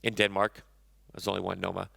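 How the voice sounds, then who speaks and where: A man's voice: sits low, monotone, a little fast, vocal fry, pushed and bright, no room sound, clean studio sound. A man in his thirties, a chef giving a conversational conference talk on stage, often correcting himself mid-sentence. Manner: conversational, self-correcting, enthusiastic about food.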